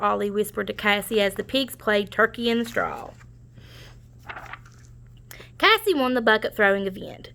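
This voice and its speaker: A woman reading a children's story aloud, pausing to explain things to young listeners. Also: mid-range voice, a bit bright. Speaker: storybook reader. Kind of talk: children's read-aloud narration.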